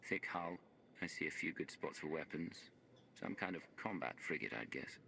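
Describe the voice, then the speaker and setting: A man's voice: a bass voice, moderate pace, creaky, sharp tone, slight background noise, heavily acted. An actor playing a terse, wary, hardened male hero in a sci-fi game. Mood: wary, skeptical. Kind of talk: cinematic game dialogue.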